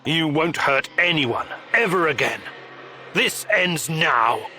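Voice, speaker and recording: A man's voice: a little high, expressive, slow, sharp tone, soft background music, exaggerated voice. An actor playing a tough, blunt, skeptical male protagonist in a video game, confrontational and sardonic. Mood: grim resolve. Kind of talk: video game dialogue acting.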